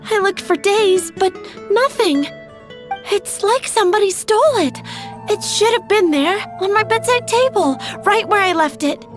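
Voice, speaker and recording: Polite flustery young flower seller from a game, really high voice, bit of music. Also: female voice, lively intonation, measured pace. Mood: flustered. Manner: earnest, stammering when nervous. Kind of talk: video game voice acting. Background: faint music.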